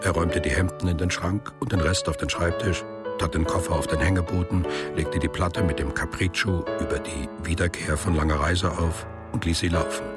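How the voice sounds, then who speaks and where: An actor playing a sober, matter-of-fact male narrator in a literary radio play, speaking in a measured way. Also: low voice, fairly even intonation, over loud music, lightly acted. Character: sober male narrator recounting a traveller's return.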